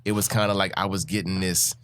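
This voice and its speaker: A young man from the Bahamas, a guest comedian, telling animated stories from his childhood in casual podcast talk. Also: low voice, quick pace, smooth. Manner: animated, acts out childhood voices.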